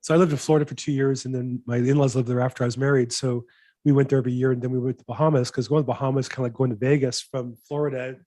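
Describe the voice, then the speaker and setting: A man's voice: mid-range voice, little pitch movement, rapid-fire, dark tone. A man hosting a weekly real estate video call, upbeat and enthusiastic, asking long rambling questions. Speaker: host, real estate broker. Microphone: video call mic.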